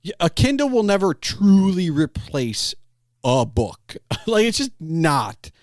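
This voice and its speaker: Man chatting casually, slightly high voice, close dry sound. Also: sing-song, slow pace, a little mellow, no echo. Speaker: podcast host interviewing a guest. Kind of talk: casual conversational chat.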